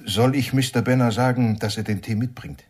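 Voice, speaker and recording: An actor playing a polite, matter-of-fact household handyman with a husky voice in a radio crime drama. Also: medium pitch, steady tone, brisk, darkish tone, close to an everyday voice. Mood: polite, dry.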